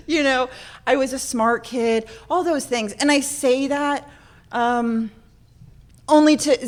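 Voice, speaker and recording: A woman from central Florida, born in Norway, sharing a personal story informally with a group in a candid, self-deprecating way. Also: on the high side. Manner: candid, self-deprecating, animated when acting out moments. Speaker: speaker sharing a personal addiction recovery story.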